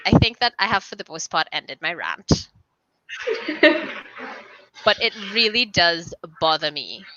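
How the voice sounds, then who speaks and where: A woman's voice: on the low side, pushed and bright, no echo, low-quality recording. A woman hosting a casual video-call interview, talking emphatically and laughing often. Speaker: host.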